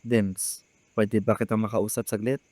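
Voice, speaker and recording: A man's voice: fairly low pitch, level tone, unhurried, darkish tone. A man with a Filipino accent playing a hardworking, overprotective young eldest brother in a radio drama. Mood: apologetic, earnest.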